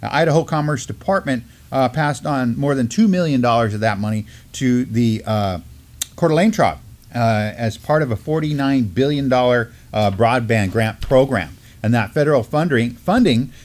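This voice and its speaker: A middle-aged man gives news commentary as a co-host. His voice sits at an average pitch. He moves between reading and explaining in an informal, halting manner. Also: a little mellow. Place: indoor studio at a desk.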